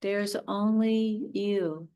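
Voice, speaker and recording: A woman teaching yoga nidra, guiding a meditation in a soothing, unhurried way with short, spaced phrases. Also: medium pitch, steady tone, mellow, clear, resonant tone, muffled recording. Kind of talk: guided relaxation meditation.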